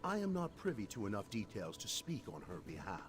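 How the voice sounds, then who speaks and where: A man's voice: lowish voice, wildly swooping pitch, a little fast, background noise. A man playing an honorable, earnest sword apprentice in a fantasy video game, with formal, solemn wording. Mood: earnest, urgent. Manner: formal, solemn herald-like delivery.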